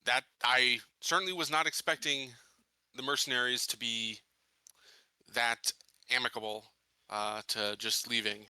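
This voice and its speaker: A man's voice: mid-range voice, fairly even intonation, measured pace, sharp tone, clear, resonant tone, no echo. A man playing a tabletop game, casually talking through his character's orders and plans. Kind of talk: casual in-character planning and chat.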